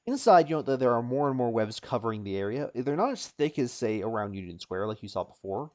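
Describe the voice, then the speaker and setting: A man's voice: mid-range voice, lively intonation, fast, darkish tone. A man improvising narration as a tabletop game master, often searching for words and repeating phrases as he describes things.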